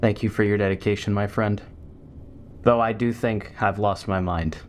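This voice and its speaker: A man's voice: fairly low pitch, fairly even intonation, moderate pace, noisy background, close to an everyday voice. A man acting as a driven, guarded human commander in a sci-fi audio drama, terse and authoritative. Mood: resolved. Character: driven, guarded human military commander obsessed with alien artifacts. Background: music.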